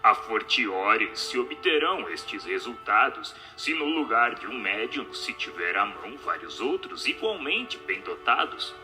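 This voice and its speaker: A man reading scripted narration aloud in a formal, measured, didactic manner. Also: mid-range voice, big pitch swings, music in the background. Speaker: narrator of an audio book adaptation.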